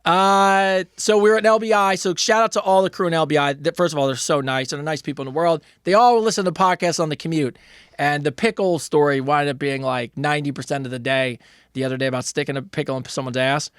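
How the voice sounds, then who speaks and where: A man's voice: on the high side, fast, fairly bright tone, smooth, no echo, studio quality. A middle-aged man co-hosting a casual comedy podcast, telling loose rambling stories and reading out listener questions, with plenty of restarts and swearing.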